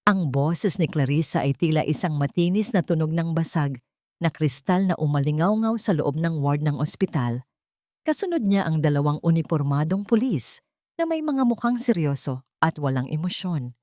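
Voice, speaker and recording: A woman's voice: low-pitched, expressive, moderate pace, dark tone, no echo, clean studio sound. A woman narrating a scripted story in a dramatic storytelling style.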